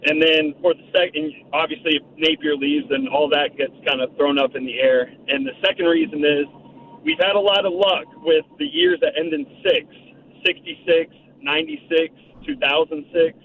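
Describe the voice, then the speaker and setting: Hoarse guy phoning in after the game, rambly, phone sound. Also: on the high side, lively intonation, normal speed. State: raspy from cheering. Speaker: caller. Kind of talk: phone-in sports chat. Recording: phone line with faint noise.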